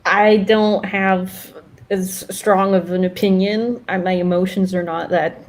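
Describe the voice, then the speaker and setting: A woman's voice: mid-range voice, fairly even intonation, a little slow. A woman with a mid-range voice co-hosts a podcast. She chats informally and puts questions to her companion in a conversational way. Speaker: podcast co-host. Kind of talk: casual chat, asking questions.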